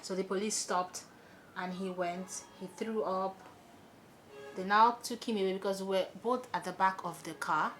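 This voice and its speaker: A young woman with a Nigerian accent telling an animated story, chatting as she does her makeup. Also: mid-range voice, moderate pace, slight background noise, rough recording. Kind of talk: storytime while doing makeup.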